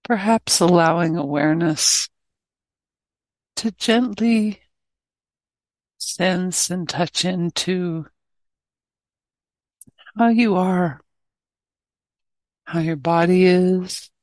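A woman teacher leading a guided meditation, speaking in short, gently inviting phrases with pauses between them. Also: fairly low pitch, dry recording, poor audio.

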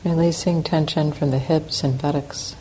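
A woman teaching a guided meditation, giving calm, unhurried instructions with long pauses between phrases. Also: low-pitched, rough recording. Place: meditation center hall. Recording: clear steady background noise.

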